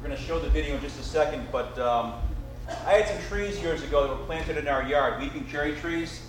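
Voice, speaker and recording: A man leading a church service, hesitant and full of "uh"s, his voice a little high for a man. He is picked up from across a big, echoing sanctuary, with a hum of room noise. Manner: halting, full of "uh" fillers. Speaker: pastor. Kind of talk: church announcement and sermon intro. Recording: distant mic in an echoey church hall.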